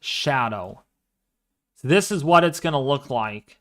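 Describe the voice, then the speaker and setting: Slow, excitable young man, smooth voice. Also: medium pitch. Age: 20s. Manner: excitable, thinks aloud, frequent exclamations. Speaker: livestream host. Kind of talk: casual livestream demo of astronomy software.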